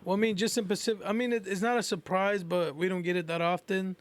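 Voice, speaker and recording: A man's voice: high voice, steady tone, fast. A laid-back man making casual, slangy podcast conversation. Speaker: podcast co-host.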